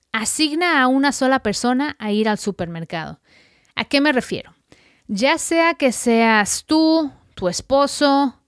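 Podcast host, woman, bright higher voice, dry close mic, chatty tips. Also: dry recording. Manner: friendly, chatty, direct second-person tips. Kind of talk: casual advice podcast monologue.

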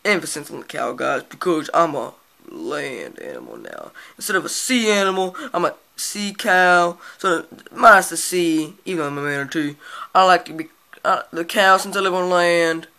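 A man voicing an easygoing male manatee who prefers life on land, speaking in a slow, drawling manner. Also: a little high, lively intonation, bright, forceful tone, heavily acted. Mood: goofy. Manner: slow, drawling, gravelly delivery.

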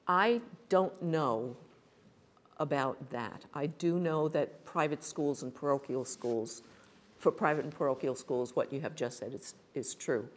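Older woman, earnest and measured. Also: sits low. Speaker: panelist at a public forum. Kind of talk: explaining policy to an audience. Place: hall with a screen behind a panel table. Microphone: table mic on a stand.

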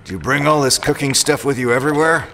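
An actor playing a suspicious, prickly loner robot pilot in an audio drama, talking in a sardonic, accusing way. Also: male voice, medium pitch, quick pace, a bit bright, a little hiss or hum, close to an everyday voice.

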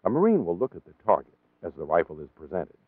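Deep-voiced American male instructional narrator. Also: wildly swooping pitch, dry recording, phone-quality sound. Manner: measured, formal, precise enunciation. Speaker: training film narrator.

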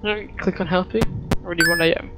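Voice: medium pitch, steady tone, quick pace, low-quality recording. A gamer giving casual, rambling live commentary on a video game, muttering asides along the way. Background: game music and sounds.

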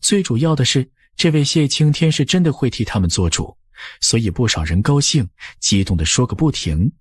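A man's voice: mid-range voice, expressive, normal speed, breathy edge, no room sound, clean studio sound. A man narrating an audiobook story from a script.

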